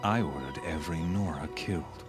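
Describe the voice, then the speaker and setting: An actor playing a smug, fanatical cult killer in a video game, speaking in a grandiose, coldly menacing, sermon-like way. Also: male voice, a bass voice, a little fast. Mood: smug cruelty. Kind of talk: theatrical video game villain monologue. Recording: game dialogue over clear background music.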